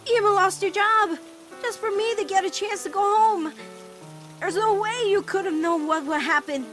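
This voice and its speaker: High-pitched, guilt-ridden teenage girl, acted by a woman. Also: a bit bright, soft background music. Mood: distressed, protesting. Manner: earnest, emotional, halting. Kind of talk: animated-series style comic dub acting.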